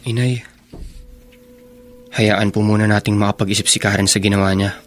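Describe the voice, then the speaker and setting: Man acting a low, even-voiced dutiful Filipino son. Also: normal speed, faint music behind, lightly acted. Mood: calm reasoning. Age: young adult. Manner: respectful, soothing. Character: earnest, dutiful grown son, calm and reassuring. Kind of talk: radio drama acting.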